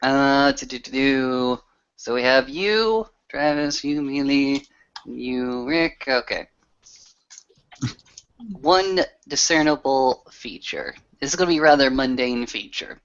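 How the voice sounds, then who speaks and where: A man's voice: mid-range voice, animated, slow pace, a bit bright, clean tone. Muffled man running a tabletop game. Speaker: game master. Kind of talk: tabletop roleplay narration, casual. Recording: muffled, lo-fi online voice call.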